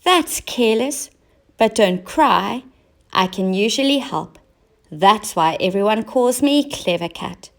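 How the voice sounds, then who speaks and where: A woman voicing a brisk, helpful, slightly bossy female cat in a children's storybook. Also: mid-range voice, animated, creaky. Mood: brisk, cross.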